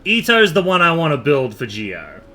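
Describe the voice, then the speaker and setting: A man's voice: fairly high pitch, huge pitch swings, normal speed, pushed and bright. A man streaming a game in casual chat, rambling and thinking aloud with the odd casual swear, over noticeable background noise. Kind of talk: casual live stream chat while gaming.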